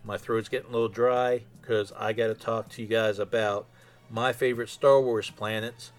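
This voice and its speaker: A man's voice: fairly low pitch, little pitch movement, normal speed. A man hosting a fan podcast solo, chatting in an informal, conversational way. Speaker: podcast host.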